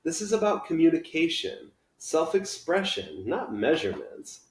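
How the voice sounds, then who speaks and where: A man's voice: a little high, huge pitch swings, moderate pace, pitched above the performer's own voice. A man reading a children's novel aloud, voicing an upbeat, encouraging and kind schoolteacher with emphatic delivery. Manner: emphatic, theatrical, reassuring. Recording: echoey room.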